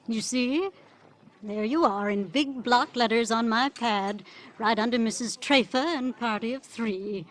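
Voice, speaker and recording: An actress playing a chatty, airily fussy tea shop hostess with arch, rambling politeness in classic American radio drama style. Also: female voice, on the high side, unhurried, a bit bright. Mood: brisk, arch. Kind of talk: 1940s-50s American radio drama acting. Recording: noisy old radio recording.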